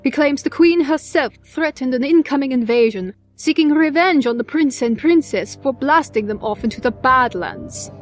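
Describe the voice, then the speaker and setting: A woman's voice: high-pitched, moderate pace, few pauses, faint music behind, natural voice. A woman voicing a cool, formal pony official with a dry, businesslike way of talking in an audio drama. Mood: grave. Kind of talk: scripted audio drama acting.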